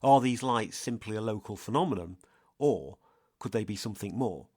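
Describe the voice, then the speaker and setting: A man's voice: on the low side, expressive, moderate pace, a little mellow, no room sound, clean studio sound. A man hosting a scripted podcast, narrating and putting interview questions to a guest.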